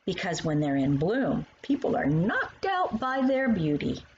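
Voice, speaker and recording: A woman's voice: mid-range voice, huge pitch swings, unhurried, a little mellow, dull audio, a little hiss or hum. A woman voicing a kindly, playful older woman who patiently explains things to a young child in a storybook. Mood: playful explaining.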